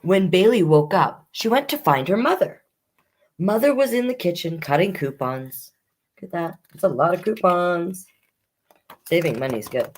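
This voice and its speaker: A woman hosting a children's storytime, reading picture books aloud expressively and adding chatty asides. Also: lowish voice. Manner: expressive, unhurried, comments on the story as she reads.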